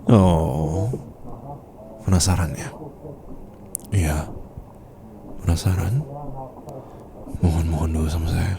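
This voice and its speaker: A man's voice: sits low, fairly even intonation, slow, vocal fry, pauses often, noisy background, exaggerated voice. A man with an Indonesian accent playing a possessive, teasing boss and doting fiancé in an intimate ASMR roleplay, flirtatious and playfully domineering. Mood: teasing, affectionate. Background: ambient room sound effects.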